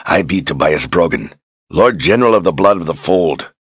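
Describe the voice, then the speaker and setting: A man's voice: low voice, animated, normal speed, breathy edge, no echo, clean studio sound, exaggerated voice. A man playing a growling zealot general. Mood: indignant. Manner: growling, self-important, rustic grammar. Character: pompous zealous male lord general, menacing.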